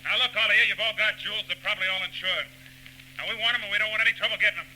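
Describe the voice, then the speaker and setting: A pushy hold-up boss from a 1940s radio play, barking orders at a rapid clip. The voice is very high and forceful, on an old, slightly noisy tape. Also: male voice. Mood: menacing, urgent. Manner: barking, commanding. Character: pushy, threatening male hold-up gang boss. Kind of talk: 1940s radio drama acting.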